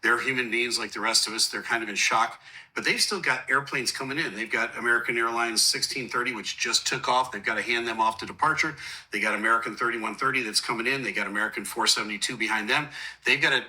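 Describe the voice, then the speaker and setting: A man's voice: mid-range voice, quick pace, sharp tone, rough recording. A man explaining recorded air traffic communications as an analyst, earnest, somber and plain-spoken. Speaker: host, aviation analyst explaining air traffic control audio.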